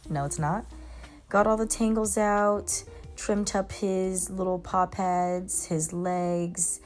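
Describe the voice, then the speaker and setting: Chatty young woman, lowish voice, casual groomer vlog from a van with faint music behind. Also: darkish tone. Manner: chatty, talks to dogs in baby talk. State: congested. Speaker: mobile pet groomer.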